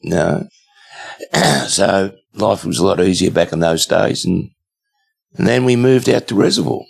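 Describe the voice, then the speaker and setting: A man in his seventies with an Australian accent, a guest telling stories in a relaxed, rambling way with many fillers. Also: deep voice, unhurried, gravelly, breathy edge. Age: 70s. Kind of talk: casual storytelling interview.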